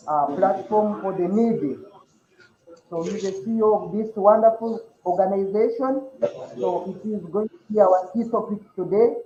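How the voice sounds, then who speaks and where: A high-voiced Ugandan man hosts a community show, speaking with a Ugandan accent and stumbling now and then over fillers and repeated words. His tone is mellow, and the sound is dull and lo-fi. It echoes around the room. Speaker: host. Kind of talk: diaspora community broadcast, mixing Rutooro and English.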